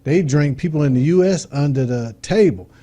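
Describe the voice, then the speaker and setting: A middle-aged man, his voice sitting a little high but soft and mellow. He speaks informally and earnestly as a talk-show guest and builds his points with homely examples. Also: expressive, normal speed. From Detroit, USA. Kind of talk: casual talk-show conversation.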